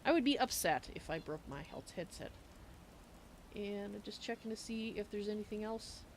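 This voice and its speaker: A woman's voice: mid-range voice, lively intonation, normal speed, a little hiss or hum. A woman hosting a casual livestream, chatting in a rambling, self-correcting way. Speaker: streamer, host.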